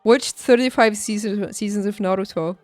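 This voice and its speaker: A woman's voice: mid-range voice, normal speed, a little mellow, no echo. Woman streamer chatting casually over music. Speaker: video game streamer. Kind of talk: casual livestream chat with viewers.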